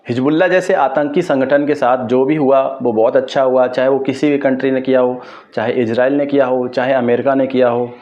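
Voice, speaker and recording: A man's voice: medium pitch, level tone, fairly quick, a little mellow. A man from India gives explanatory news commentary to viewers, speaking emphatically and opinionatedly and repeating his points. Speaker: host of an explainer video channel. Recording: dull lo-fi microphone sound.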